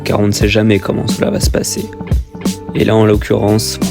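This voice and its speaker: Low, gravelly young man narrating. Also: brisk. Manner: calm, storytelling, addresses viewers. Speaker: narrator of his own travel video. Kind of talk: voice-over narration. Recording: voice-over with music behind. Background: guitar music.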